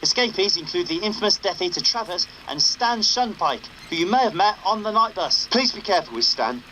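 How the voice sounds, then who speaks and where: An actor playing a cheeky young rebel radio host, broadcasting upbeat but wary patter over a noisy signal. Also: male voice, high-pitched, expressive, a little fast, pushed and bright. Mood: urgent, rallying.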